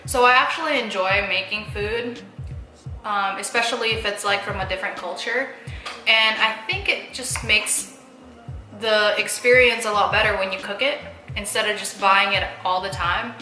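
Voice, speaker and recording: A woman's voice: mid-range voice, normal speed, pushed and bright, echoey room, faint music behind. A young woman talking casually to her viewers while eating, chatty, laughing often and using plenty of fillers. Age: young adult. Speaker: mukbang vlogger.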